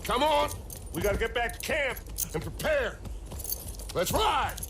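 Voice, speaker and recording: An actor playing a scheming, self-assured middle-aged gang leader in a Western game, grandiose and commanding in manner. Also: male voice, very high-pitched, big pitch swings, a little slow, a bit bright, a little hiss or hum, full character voice. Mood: triumphant, commanding. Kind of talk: Western video game acting.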